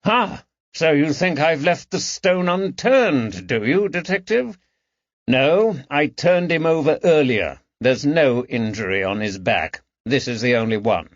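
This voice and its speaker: A man voicing a brisk, sardonic gentleman surgeon in an audiobook, clipped, formal and condescending. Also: fairly high pitch, expressive, no room sound, lo-fi sound, voice pushed up. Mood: brisk, dry. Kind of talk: audiobook character dialogue.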